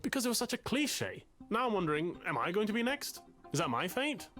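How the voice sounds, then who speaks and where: A man's voice: sits high, big pitch swings, fairly quick, fairly bright tone, soft background music. An actor playing a self-pitying male writer in a visual novel, musing in a theatrical, florid and anguished way. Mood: anguished, indignant.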